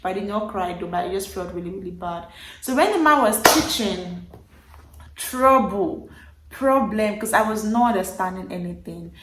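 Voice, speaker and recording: A young woman with a Nigerian accent tells a personal story in a lively, emphatic way, leaning on repeated words. Her voice sits in an ordinary middle range. The recording has a noticeably roomy echo. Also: mid-range voice, normal speed, a little hiss or hum. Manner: animated, emphatic retelling with repeated phrases. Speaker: vlogger. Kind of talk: casual storytelling to camera.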